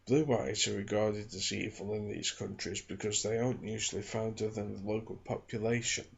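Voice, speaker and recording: Man, ASMR book reading, heavy vocal fry, husky and breathy, hushed, measured, slightly muffled home recording. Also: lowish voice. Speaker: ASMR reader and channel host. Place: small home studio in a back bedroom.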